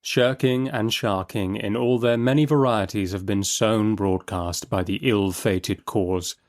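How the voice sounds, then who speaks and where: A man's voice: lowish voice, steady tone, measured pace. A man giving a dramatic, literary audiobook narration. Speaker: narrator and host.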